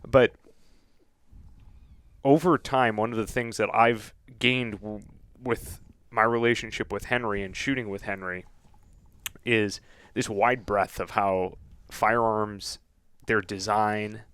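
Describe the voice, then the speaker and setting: A man from Boston talks in an earnest, measured way as a podcast guest. His voice is lowish and steady. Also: smooth, no echo. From Boston, North Shore. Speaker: guest, YouTube channel co-host. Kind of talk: casual podcast chat. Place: dark studio set with leather chairs.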